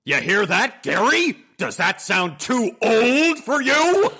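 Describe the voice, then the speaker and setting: An actor playing a pompous, indignant theatrical thespian agent in a comedy audio drama, declaiming grandly. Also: male voice, very high-pitched, sing-song, slow, bright, forceful tone, breathy edge, exaggerated voice.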